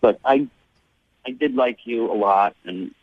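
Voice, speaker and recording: A man talks as a reluctant guest on a radio call. His mid-pitched voice is defensive and aggrieved. The phone sound is dull and dry. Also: a little slow, no echo.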